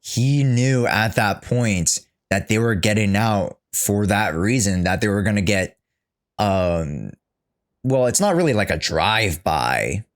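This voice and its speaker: A man's voice: fairly low pitch, animated, unhurried. A young man chatting casually as a livestreamer, reacting to a game story in an informal, joking, sweary way. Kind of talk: casual livestream chat and commentary.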